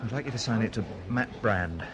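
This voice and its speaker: A man's voice: fairly low pitch, brisk, noisy background, close to an everyday voice. An actor playing an aggrieved, volatile male novelist, bitter and suspicious, in a British radio drama. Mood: indignant.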